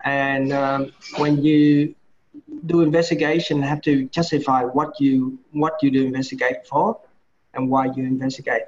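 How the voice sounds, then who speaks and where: A middle-aged man with a Vietnamese accent, Vietnamese his first language, giving feedback in an online medical class, halting with many restarts and "you know" fillers. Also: medium pitch, little pitch movement, a little hiss or hum. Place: home room on a video call. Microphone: laptop or webcam mic on a video call. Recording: dull lo-fi video call audio.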